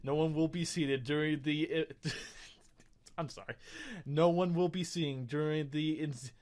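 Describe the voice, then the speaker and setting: A man's voice: fairly high pitch, steady tone, measured pace, darkish tone. A man doing casual, rambling game commentary as a let's play host, often correcting himself as he goes.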